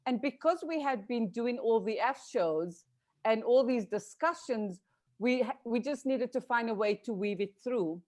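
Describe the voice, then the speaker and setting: A woman's voice: a little high, measured pace, darkish tone. Earnest, grateful woman on a call. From South Africa. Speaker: filmmaker answering questions. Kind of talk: reflective panel discussion.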